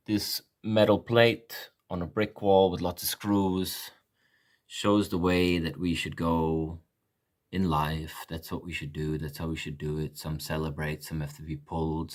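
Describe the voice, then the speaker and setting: Slow, deep-voiced man narrating his art. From Malaysia. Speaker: artist presenting his work. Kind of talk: narrated video tour.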